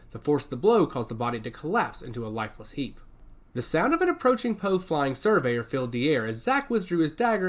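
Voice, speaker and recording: A man reading scripted science fiction action narration in an emphatic, theatrical way. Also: medium pitch.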